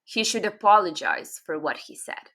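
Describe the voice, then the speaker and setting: A young woman, a native Serbian speaker, teaching English grammar in a patient, unhurried way with many fillers. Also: medium pitch, expressive, a bit bright. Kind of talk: casual online grammar lesson.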